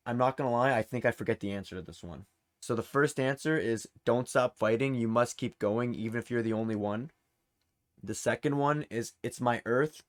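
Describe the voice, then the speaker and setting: A man hosting a quiz in a casual, informal and encouraging way. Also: medium pitch. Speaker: quiz host. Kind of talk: casual game-show hosting.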